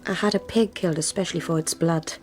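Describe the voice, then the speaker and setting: A worldly noblewoman looking after someone, played by a woman in a radio play. Her voice sits a little low, dry and wry, gently bossy and protective, over faint music. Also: fairly even intonation, fast talker, lightly acted. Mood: wry, coaxing. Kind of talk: radio drama acting.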